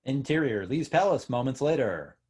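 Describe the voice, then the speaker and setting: A man reads out scene headings at a script table read. His voice is medium in pitch and husky, announcing each short phrase as scripted. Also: very animated, moderate pace, darkish tone. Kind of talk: scripted screenplay reading.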